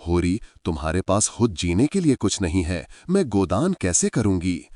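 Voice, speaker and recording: A man's voice: sits low, very animated, moderate pace, darkish tone, no room sound, studio quality. A man voicing a poor village wife, worried and loving. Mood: pleading.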